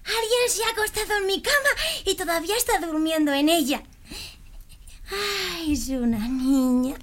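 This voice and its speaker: A woman playing a tiny, whiny baby bear with a shrill, squeaky voice in a children's storybook recording. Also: animated, normal speed. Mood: shrill surprise. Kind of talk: children's storybook audio acting.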